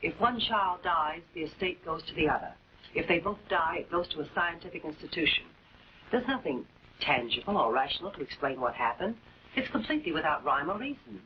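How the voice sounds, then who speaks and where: A woman's voice: low voice, animated, a little fast. A woman playing a distraught, guarded wife and guardian in a late-1940s television drama, speaking emphatically and repeating herself. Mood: guarded, firm. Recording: dull, noisy 1940s film soundtrack.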